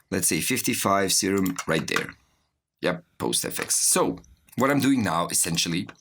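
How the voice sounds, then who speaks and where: A man giving a software tutorial as an instructor, explaining step by step while he demonstrates. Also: on the low side, a little hiss or hum. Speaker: music production instructor.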